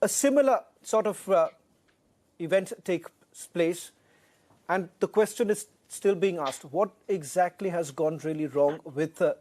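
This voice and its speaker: A man's voice: on the high side, dark tone. A man with an Indian accent anchoring live television news, speaking in emphatic, formal phrasing.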